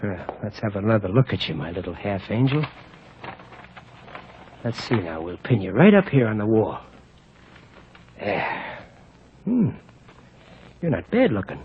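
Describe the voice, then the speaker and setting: A man playing a gruff, wisecracking small-time crook in an old radio play, talking in brusque tough-guy slang. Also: lowish voice, big pitch swings, measured pace, long pauses, a little hiss or hum. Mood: sardonic. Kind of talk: 1940s radio acting. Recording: muffled old radio recording.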